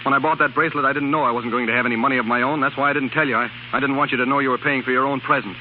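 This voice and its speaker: An actor playing a proud, wounded young American husband, bitter and clipped, in 1930s radio drama style. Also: male voice, medium pitch, steady tone, rapid-fire, lightly acted. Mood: hurt, defensive. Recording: muffled, noisy 1930s radio broadcast.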